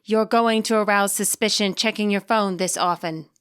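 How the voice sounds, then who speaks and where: A woman's voice: mid-range voice, fairly even intonation, normal speed, a bit bright, smooth, close to an everyday voice. A woman voicing a secretive, impatient mystery caller in a game story, terse and disapproving. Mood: curt, impatient.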